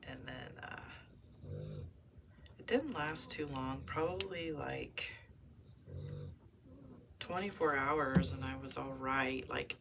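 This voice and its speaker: A woman's voice: a bass voice, gravelly. A woman chatting to viewers while making a junk journal page, musing aloud and slipping into near-whispers, with a chesty cold. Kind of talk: casual crafting chat to viewers. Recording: noisy home recording with clicks.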